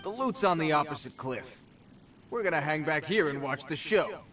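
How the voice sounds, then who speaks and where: An actor playing a cocky bandit thug in a video game, with a slangy, taunting way of talking. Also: male voice, on the high side, expressive, normal speed, fairly bright tone, distant mic, faint music behind. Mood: challenging.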